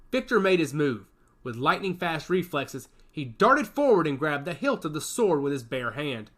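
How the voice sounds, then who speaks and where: A man's voice: fairly high pitch, a bit bright. A man reading a science fiction action story aloud as its narrator, with a dramatic storytelling delivery.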